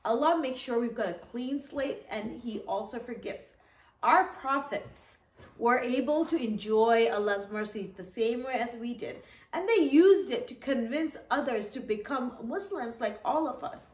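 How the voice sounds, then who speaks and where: A woman storyteller reading a children's story aloud, with animated asides and questions to young listeners. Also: on the high side, unhurried. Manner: animated, asks listeners questions, acts out short quotes. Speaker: children's storyteller reading her own book. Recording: echoey room.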